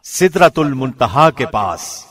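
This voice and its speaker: A man reads a scripture translation in the measured, formal delivery of a radio presenter. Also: medium pitch, big pitch swings, a bit bright. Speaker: translation reader, radio host.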